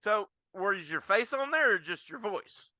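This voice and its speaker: A man's voice: sits high, big pitch swings, a little slow, pushed and bright, dry recording. A man hosting a podcast, chatting and asking questions in a casual interview style.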